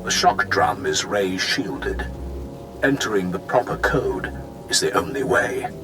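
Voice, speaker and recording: An actor voicing a solemn, honor-bound Sith warrior lord in a video game, speaking formally and with measured gravity. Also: male voice, deep voice, big pitch swings. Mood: grave, challenging. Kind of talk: dramatic video game acting.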